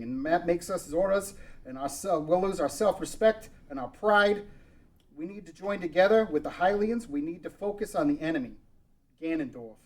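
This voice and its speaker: High-voiced man reading a regretful ruler. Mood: urgent, commanding. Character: guilt-ridden regretful adult ruler of a doomed land. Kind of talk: table read of a fan script.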